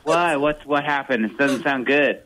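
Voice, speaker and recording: A man's voice: mid-range voice, a little slow, fairly bright tone. A man talking on a phone call, incredulous and laughing, as a guest being pranked. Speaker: phone caller, regular guest. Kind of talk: casual phone chat.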